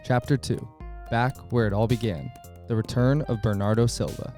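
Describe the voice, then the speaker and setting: Mellow-voiced man narrating a documentary. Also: medium pitch, moderate pace, faint music behind. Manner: steady, read-aloud delivery. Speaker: podcast host and narrator.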